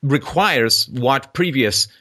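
A man hosting a podcast, giving earnest conversational commentary in a deliberate, emphatic, explanatory way. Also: mid-range voice, animated, pushed and bright. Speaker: podcast host.

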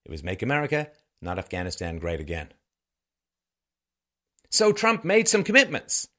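A man's voice: on the low side, huge pitch swings, normal speed, a bit bright. A man from Ireland and England delivering an opinion monologue in a sarcastic, rhetorical manner with theatrical emphasis. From born in Ireland, grew up in England. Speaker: host of a political commentary show.

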